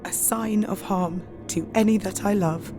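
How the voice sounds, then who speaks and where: Young married woman, played by an actress, sorrowful yet steadfast, unhurried and restrained, audio drama over music. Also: mid-range voice, steady tone, lightly acted. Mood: resolute. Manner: slow, earnest, restrained. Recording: music under the voice.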